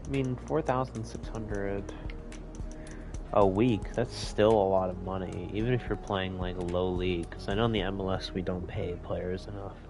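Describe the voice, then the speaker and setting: American male gaming host, lowish voice, loose rambling delivery, laughs easily, flares into rants. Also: steady tone, music in the background. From United States. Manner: rambling, chuckling, quick to rant. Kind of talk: casual gameplay commentary. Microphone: home recording setup.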